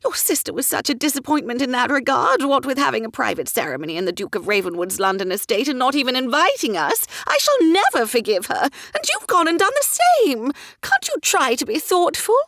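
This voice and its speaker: A woman voicing a flighty, dramatic older society mother who talks in shrill, theatrical bursts. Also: sing-song, fairly quick, few pauses, a bit bright, dry recording, studio quality, full character voice. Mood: aghast.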